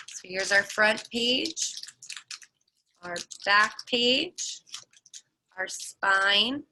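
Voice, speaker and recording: A woman's voice: medium pitch, sharp tone, slight background noise, poor audio. A woman preschool teacher leading a virtual morning class, explaining things to young children in simple steps. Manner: instructive, addressing a group of small children.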